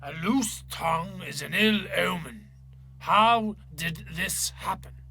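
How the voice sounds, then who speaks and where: Slow, gravelly Irish priest, stern and menacing, acted by a man. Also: sits high, pushed and bright, slight background noise, full character voice. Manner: slow, commanding, thundering delivery. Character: stern, menacing, pompous parish priest with a paunch. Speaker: actor. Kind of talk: dramatic short story reading.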